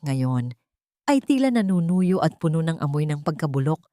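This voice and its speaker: A woman's voice: fairly low pitch, no room sound, studio quality. A woman narrating a scripted dramatic story.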